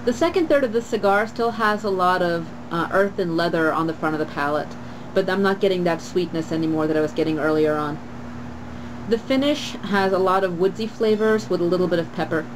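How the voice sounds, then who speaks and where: Calm low-voiced woman reviewing cigars. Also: noisy background. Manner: calm, measured, with frequent "uh" pauses. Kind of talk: product review to camera. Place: screened porch outdoors, trees behind.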